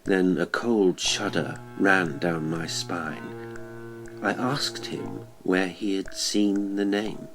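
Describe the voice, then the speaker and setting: A man reading a classic horror story as a scripted audiobook, in a measured, literary storytelling manner. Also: low voice, fairly even intonation, fairly bright tone, soft background music, rough recording. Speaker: audiobook narrator, horror podcast host.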